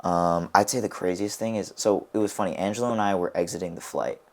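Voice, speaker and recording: A man's voice: deep voice, steady tone, fast talker. A young American man co-hosting a casual podcast, telling rambling stories full of "like" and restarts. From United States. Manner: rambling storyteller, heavy on "like". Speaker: podcast co-host and wrestler.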